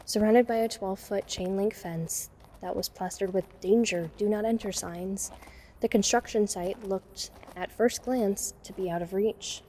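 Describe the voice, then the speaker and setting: A woman playing an uneasy, introspective teenage girl who narrates an audio drama in a measured, reflective first person. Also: mid-range voice, mellow, a little hiss or hum, lightly acted, rough recording. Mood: pensive.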